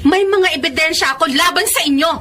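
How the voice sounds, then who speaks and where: A woman's voice: very high voice, a little fast, over music. A woman with a Filipino accent acting a wronged, hurt but resolute wife in a radio drama. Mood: cold, accusing.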